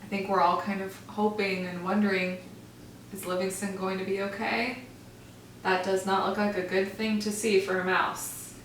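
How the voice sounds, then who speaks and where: A woman teacher reading a picture book aloud to children in a gentle, expressive storytelling manner. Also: medium pitch, moderate pace, a little hiss or hum. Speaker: first grade teacher. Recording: echoey room, far from mic.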